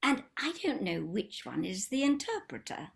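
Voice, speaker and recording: A woman's voice: mid-range voice, sing-song, moderate pace, vocal fry. A woman playing a baffled, exasperated society wife in a period stage comedy, speaking emphatically. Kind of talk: theatrical period comedy play reading.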